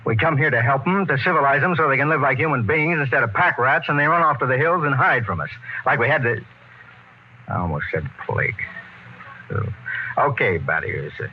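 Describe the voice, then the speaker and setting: An American actor playing a stern, commanding military captain of a space expedition in 1950s radio drama, narrating and giving orders in an authoritative, clipped way. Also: male voice, mid-range voice, animated, fairly quick, a little hiss or hum, lightly acted. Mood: grave, reflective. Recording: tinny 1950s radio recording, loud music.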